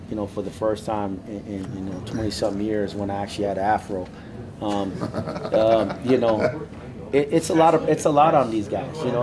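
A middle-aged man, a basketball head coach, answering reporters at a postgame press conference in a measured way, often restarting his phrases. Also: mid-range voice. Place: small indoor media room. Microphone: handheld recorders held up by reporters.

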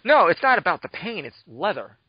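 A man's voice: sits high, big pitch swings, brisk, slightly rough, dry recording. A man hosting a podcast, chatting casually with crude teasing banter. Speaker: podcast host. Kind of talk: casual chat. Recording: dull lo-fi dry podcast mic.

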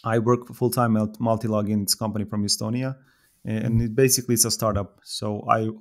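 A Serbian-accented man in his thirties with a lowish voice that stays flat and even. He talks as a podcast guest, pausing and restarting as he thinks. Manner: thoughtful, with many fillers and restarts. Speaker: podcast guest, software developer. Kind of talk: casual podcast conversation.

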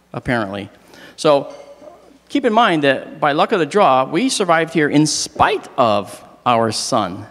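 A middle-aged man with a slightly hoarse voice gives a joking, animated astronomy lecture on stage into a handheld mic. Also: a little high. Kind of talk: public lecture with jokes. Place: large hall, on stage. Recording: long reverb of a large hall.

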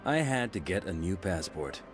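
An actor playing an earnest, deadpan police hero in a video game cutscene. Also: male voice, sits low, normal speed. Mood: serious. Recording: music behind the voice.

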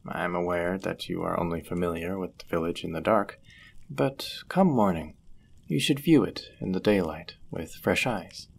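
Low, husky, courteous medieval innkeeper played by a man. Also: full character voice. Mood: tender. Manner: formal, measured, old-fashioned diction. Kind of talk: roleplay audio drama acting.

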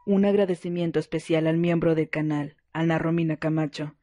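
A mellow woman's voice reads a scripted thank-you. Her pitch is middling and even, and the sound is dry. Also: brisk, no echo.

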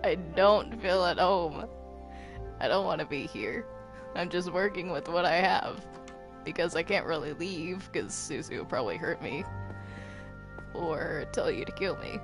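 A woman improvising roleplay in a video game, speaking haltingly and often repeating her words. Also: on the low side, lively intonation, over music. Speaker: roleplay gamer. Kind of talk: improvised Minecraft roleplay chat.

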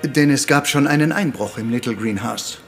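An actor playing a vain, fussy middle-aged detective in a radio crime drama, formal and theatrical. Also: male voice, medium pitch, normal speed, soft background music, close to an everyday voice. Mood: self-assured. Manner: formal and theatrical, sprinkled with French courtesies.

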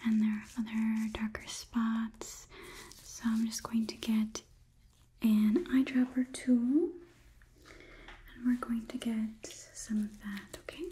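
A young woman doing a whispered ASMR roleplay as a polite, clinical examiner, softly giving instructions up close to the microphone. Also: a little high, level tone, a little hiss or hum. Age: young adult. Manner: soft, deliberate, instructive. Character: polite, clinical robot overlord examining a human. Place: small indoor room set. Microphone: close microphone, just out of frame.

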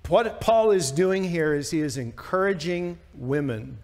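A man's voice: a little high, expressive, unhurried, a little mellow. An American man in his sixties, a pastor giving an informal, emphatic, conversational Bible teaching with rhetorical questions. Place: church sanctuary stage, indoors. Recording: long reverb of a church hall.

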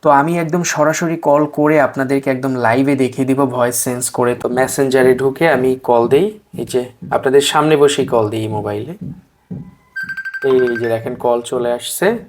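A man's voice: mid-range voice, steady tone, moderate pace. A man, hosting a tech channel and casually demoing and selling an app, chatty and sprinkling in English words and filler sounds. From Bangladesh. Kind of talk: casual product demo and sales pitch.

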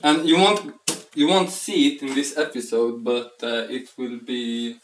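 A man's voice: medium pitch, steady tone, unhurried, noisy background. A young man hosting a casual home cooking vlog, talking in a rambling, playful way with stumbling restarts while he works at the sink. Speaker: cooking show host. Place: small home kitchen, indoors. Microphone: camera mic from across the room. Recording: echoey kitchen, distant from mic.

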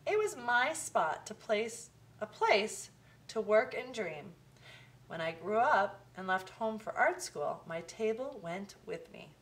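A woman reading a children's picture book aloud, with expressive, deliberate phrasing. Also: medium pitch. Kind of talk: storybook read-aloud for children.

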